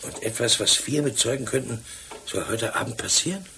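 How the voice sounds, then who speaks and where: An actor playing a wry, deferential police sergeant with a husky voice in a German radio crime drama. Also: male voice, mid-range voice, lively intonation, moderate pace, pushed and bright, slight background noise. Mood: hushed, puzzled. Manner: wry, questioning, respectful.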